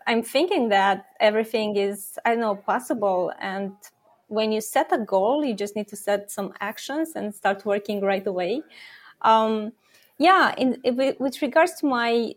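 Woman explaining earnestly with fillers. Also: on the high side. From Romania. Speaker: startup co-founder and product officer guest. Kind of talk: interview answers.

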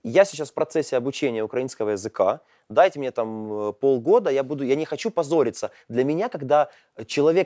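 A man hosting a casual discussion, speaking thoughtfully with many pauses and fillers. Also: mid-range voice, dark tone, no echo, clean studio sound.

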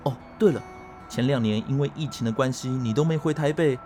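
An actor playing a gossipy, excitable young colleague in an audio drama. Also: male voice, medium pitch, brisk, soft background music, lightly acted. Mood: chatty.

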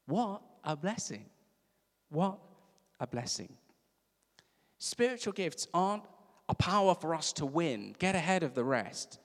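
A man's voice: a little high, big pitch swings, unhurried, long pauses. A man from Africa, preaching a sermon in a conversational storytelling way with emphatic repeats. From South Africa. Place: church service. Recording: reverberant church hall.

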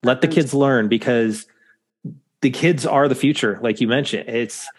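A man's voice: mid-range voice, normal speed. A man hosting a podcast in casual chat, rambling with lots of fillers. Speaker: podcast host.

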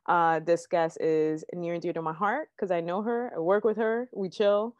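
A woman's voice: on the low side, fairly even intonation, normal speed, dry recording. A woman hosting a podcast, welcoming a guest with enthusiasm and rambling chatty asides. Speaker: podcast host. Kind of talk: casual podcast chat. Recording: dry, dull lo-fi sound.

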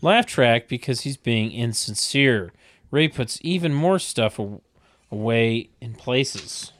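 A man narrates stage directions for a comedy table read. He takes his time, with a very lively, swooping pitch and a literal, tongue-in-cheek manner. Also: slow.

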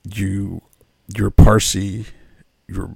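A man's voice: low voice, flat delivery, very slow, gravelly, darkish tone, breathy edge, no echo, clean studio sound. A man interviewing a guest in a casual conversational way.

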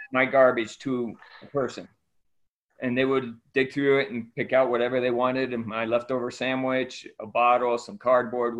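A middle-aged man from Ecuador, an interview guest telling his story conversationally, on a slightly dull recording. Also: medium pitch. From Ecuador, raised by missionary parents. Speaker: interview guest, missionary aid worker.

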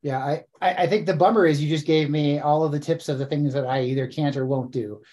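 A man's voice: fairly high pitch, fairly even intonation, brisk, a little mellow. A man in his forties hosting a casual interview, friendly, often repeating words as he starts sentences. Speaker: podcast host.

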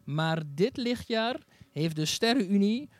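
A man's voice: high-pitched, animated, a little slow, no echo. A man playing a cheerful, proud and slightly bumbling alien dignitary in a comic sci-fi radio play, polite and a little hesitant. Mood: proud, gracious.

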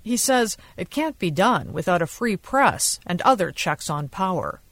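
A woman's voice: fairly low pitch, very animated, unhurried, a bit bright. A woman correspondent narrating a scripted radio news report. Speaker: foreign correspondent.

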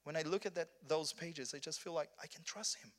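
A man's voice: on the high side, a little fast. Brazilian man preaching earnestly. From southern Brazil. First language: Portuguese. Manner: earnest, many restarts and repeated words. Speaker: pastor. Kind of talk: conference sermon.

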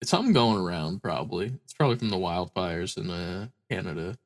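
A man's voice: sits low, expressive, a little fast. Stuffy, tired man on a podcast. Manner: tired, rambling, swears casually. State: stuffy nose from a cold. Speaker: podcast co-host. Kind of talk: casual podcast chat.

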